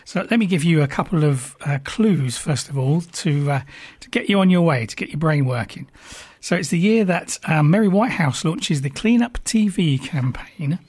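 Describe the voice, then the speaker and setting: A man presenting on radio with a croaky, hoarse voice, casual, affable and slightly halting. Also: fairly high pitch, expressive, a little mellow. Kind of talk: casual on-air programme preview.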